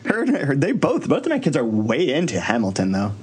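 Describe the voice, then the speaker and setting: A man's voice: medium pitch, wildly swooping pitch, fairly quick, a little mellow. A middle-aged American man telling casual anecdotes, acting out bits of dialogue as he goes. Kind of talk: casual chat. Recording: clear background noise.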